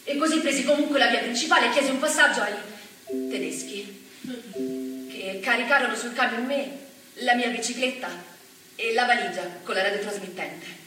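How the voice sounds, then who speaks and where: Plucky young courier girl, high, echoey. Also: moderate pace, bright, forceful tone, soft background music. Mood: eager recollection. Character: plucky, naive young woman courier for the resistance. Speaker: stage actress. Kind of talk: theatrical monologue, storytelling. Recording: very echoey, distant stage recording, faint noise.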